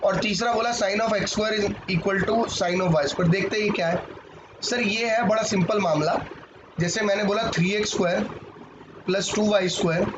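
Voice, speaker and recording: A man from India, teaching maths in a live online class, hoarse from a sore throat and bantering with his students. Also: sits high. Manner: banters with students, mixes Hindi and English. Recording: muffled, roomy with background noise.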